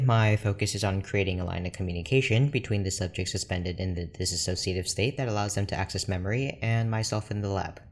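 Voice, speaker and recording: A man playing a reserved, formal research doctor in an audio drama, speaking in a measured, dry manner. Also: on the low side, a little mellow. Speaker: scientist character in an audio drama. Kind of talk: scripted audio drama monologue and dialogue. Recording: clear background noise with ambience.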